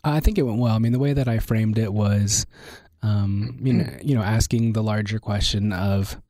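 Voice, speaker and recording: A man co-hosting a casual chat, his voice hoarse and worn out. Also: on the low side, steady tone, normal speed, warm tone, dry recording, clean studio sound. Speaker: university lecturer and podcast co-host.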